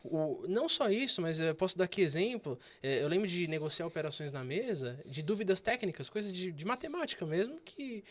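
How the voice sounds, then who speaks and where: A man, a guest banker sharing stories in a casual interview with animated delivery and frequent fillers. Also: high-pitched, fairly quick, few pauses.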